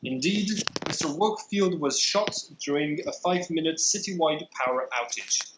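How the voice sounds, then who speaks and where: Man, game master, measured deliberate narration, fairly high voice, echoey room. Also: animated, background noise. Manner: measured, deliberate, with many pauses.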